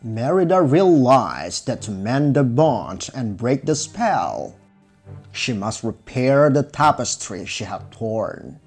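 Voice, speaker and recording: Casting: male fairy-tale narrator, slightly raspy, lively intonation, measured storybook delivery. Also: mid-range voice. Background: music.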